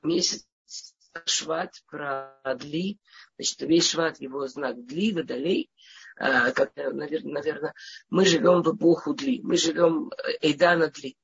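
Woman w a cold teaching a lesson, stammers n restarts a lot, raspy gravelly voice, muffled audio. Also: low-pitched. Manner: hesitant, with frequent stammers and restarts. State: has a cold. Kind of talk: informal religious lesson.

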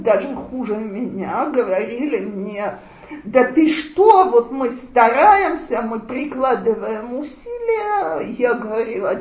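A woman giving a lecture on raising children, talking in a slow, measured way with frequent pauses to think. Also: high-pitched. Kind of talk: lecture with audience discussion. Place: lecture room with audience. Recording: roomy, muffled lecture recording.

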